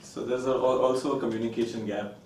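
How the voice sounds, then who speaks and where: A man's voice: medium pitch, steady tone, fast talker, darkish tone. A man from India whose first language is Konkani, a film director answering interview questions thoughtfully, with many fillers. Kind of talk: interview conversation. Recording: roomy, distant, faint noise.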